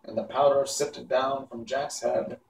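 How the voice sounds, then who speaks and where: A man narrates a children's novel in a medium-pitched voice. His delivery is that of an unpolished amateur reader. He sounds set back from the mic in an echoey room, with faint noise behind him. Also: flat delivery, unhurried, creaky, far from the mic. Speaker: storybook reader. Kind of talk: reading a children's novel aloud.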